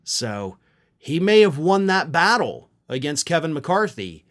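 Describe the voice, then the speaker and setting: A man with a somewhat high voice delivers political commentary. He talks informally and conversationally, making his points in a pointed, rhetorical way. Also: sing-song, unhurried, fairly bright tone.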